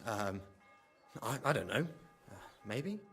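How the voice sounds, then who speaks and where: An actor playing a cagey, combative young apprentice forger in a medieval game, defensive and foul-mouthed. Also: male voice, lowish voice, very animated, slow pace, a bit bright, slightly rough, faint music behind, exaggerated voice. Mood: evasive. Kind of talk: scripted game dialogue acting.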